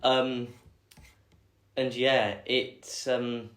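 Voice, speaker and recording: A young man, answering interview questions in a thoughtful, measured way with many pauses and fillers. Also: medium pitch, little pitch movement, a bit bright. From England. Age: young adult. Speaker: guest. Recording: echoey room.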